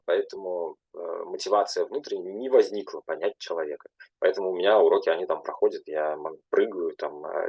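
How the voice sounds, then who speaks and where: A young man, a language teacher, speaking at a panel in a halting way with many filler sounds and restarts. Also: low-pitched, darkish tone, dry recording, low-quality recording. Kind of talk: panel talk.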